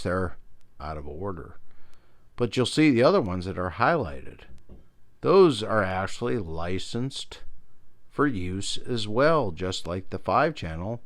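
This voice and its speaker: A middle-aged man giving a casual, meandering show-and-tell as an enthusiastic hobbyist host. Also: lowish voice. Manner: meandering, enthusiastic about old gear. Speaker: video host, radio hobbyist.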